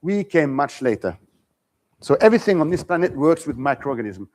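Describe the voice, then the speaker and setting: Male visiting lecturer, slightly higher pitch, relaxed conversational seminar delivery. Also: a little fast. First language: French. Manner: conversational, frequent restarts and "okay?" checks.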